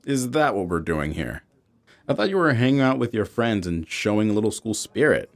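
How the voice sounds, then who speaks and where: A man playing a reassuring, gently chiding father in an audio drama. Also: lowish voice, very animated, normal speed. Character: supportive, gently chiding father of teenage daughter. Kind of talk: scripted audio drama acting.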